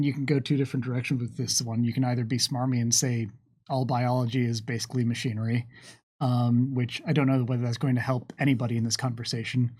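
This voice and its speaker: Man, medium pitch, casual Q&A, game developer, wry asides, wordy and deliberate phrasing. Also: a little mellow. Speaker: game developer answering audience questions on a live stream. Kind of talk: casual unscripted Q&A talk, reading out questions.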